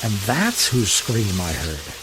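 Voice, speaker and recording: A man's voice: on the low side, slow pace, exaggerated voice. A broke 1930s night watchman telling his tale, wry n hesitant, radio play, rain hissing behind him. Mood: uneasy. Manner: wry, colloquial, hesitant storytelling. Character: down-on-his-luck sober night watchman in 1938 Baltimore. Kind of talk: first-person radio drama narration. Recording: voice over steady rain noise.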